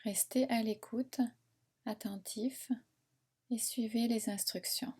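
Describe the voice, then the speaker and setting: Female meditation guide, fairly high voice, very slow soothing delivery with long pauses. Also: fairly even intonation, darkish tone, breathy edge. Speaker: relaxation and yoga nidra guide.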